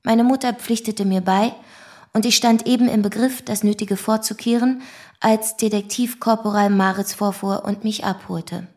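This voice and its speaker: A woman's voice: mid-range voice, steady tone, normal speed, darkish tone, close to an everyday voice. Actress as an earnest young female witness, formal and precise. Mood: earnest, matter-of-fact. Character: principled young shop assistant giving a formal police statement. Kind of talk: radio play testimony.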